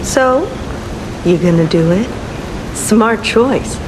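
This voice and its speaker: A sly video game rogue coaxes and teases in a slow drawl. Her pitch moves playfully, and steady noise like rain sits behind her. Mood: sly, coaxing. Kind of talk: game character dialogue.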